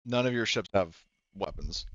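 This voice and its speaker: A man acting as game master, narrating and explaining a tabletop game in a measured, conversational way. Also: deep voice, lively intonation, a bit bright, no echo, highs cut off.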